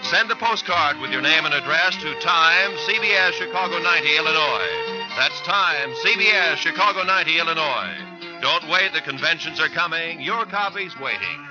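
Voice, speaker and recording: A male announcer reads in formal 1950s radio style. His voice sits a bit high and is emphatic, with music clearly behind it on a muffled vintage recording. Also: expressive, moderate pace.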